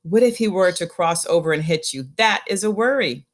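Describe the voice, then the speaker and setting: Low-pitched woman reading a children's picture book. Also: fairly quick.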